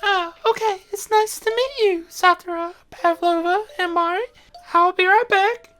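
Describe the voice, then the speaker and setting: Woman as helpful creature medic for a roleplay game: very high pitch, casual, reassuring bedside manner. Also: a little slow, a bit bright. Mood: friendly, reassuring. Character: helpful, attentive camp medic creature named Aldino. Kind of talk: tabletop roleplay in character.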